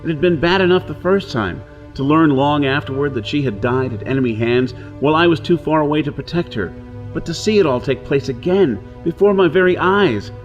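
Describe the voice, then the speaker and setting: A man's voice: a little high, lively intonation, brisk, dull audio, close to an everyday voice. An actor playing a desperate outlaw hero on Mars, telling his ordeal in intense, theatrical first-person narration. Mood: brooding, anguished. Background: dramatic music and soundscape.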